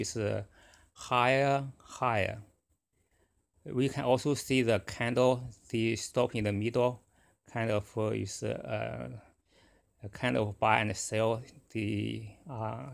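A middle-aged man whose first language is Chinese, talking casually and haltingly about his trading, with many fillers and restarts. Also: mid-range voice, steady tone, creaky. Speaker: forex trader. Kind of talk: casual screen-recorded trading talk.

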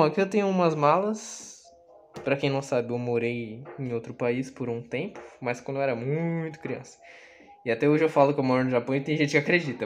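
A young man with a Brazilian Portuguese accent, rambling casually and playfully in a self-deprecating way, as in an informal vlog. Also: medium pitch. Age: young adult. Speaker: YouTuber. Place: small bedroom, indoors. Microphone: handheld camera or phone. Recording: noisy handheld camera audio with clicks.

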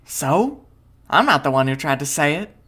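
A woman acts out a plucky young boy in a comic dub. The voice is low for a woman and very animated, blunt and stubborn. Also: moderate pace, breathy edge, exaggerated voice. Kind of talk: comic dub drama acting.

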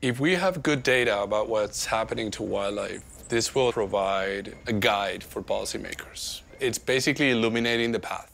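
Middle-aged male scientist, gravelly, deliberate pace, documentary interview. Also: on the low side, fairly bright tone, slight background noise. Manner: slow, measured speech, switching to casual Spanish with a colleague. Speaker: conservation biologist.

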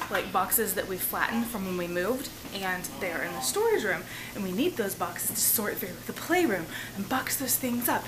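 Chatty, loud woman vlogger. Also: mid-range voice, noisy background. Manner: chatty, loud, laughs often. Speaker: family vlogger. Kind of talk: casual chat to camera. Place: home kitchen and living room at night. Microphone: handheld camera at arm's length.

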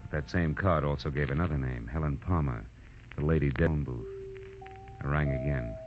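Wry, world-weary male gumshoe, very low voice, muffled old-time radio sound with music. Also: moderate pace. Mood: wry narration. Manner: wry, sardonic. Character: wry, world-weary male private detective, first-person narrator. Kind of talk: 1940s radio detective acting and narration.

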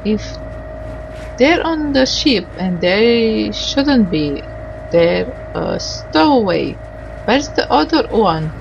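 A woman doing a casual game playthrough, reading the on-screen dialogue aloud in a halting, tentative way and sounding tired. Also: mid-range voice, big pitch swings, gravelly, muffled recording, music in the background.

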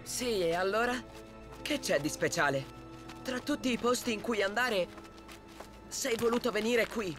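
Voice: medium pitch, measured pace, long pauses, over music, natural voice. A performer voicing a boastful, playful young male tiger cub in a children's cartoon, with a cocky manner. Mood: doubtful.

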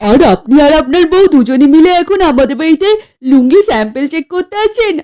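A woman's voice: high-pitched, animated, fairly quick, warm tone. A woman voicing an eager, fussy husband and small businessman in a comedy cartoon, speaking emphatically. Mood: eager, obliging. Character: eager, easygoing husband and lungi-selling businessman. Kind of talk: exaggerated comedy cartoon acting.

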